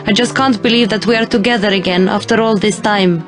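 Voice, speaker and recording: A woman's voice: mid-range voice, fairly even intonation, a little fast, close to an everyday voice. A woman playing a tender, determined long-lost princess sister in a dramatic video game cutscene. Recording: soft music behind the voice.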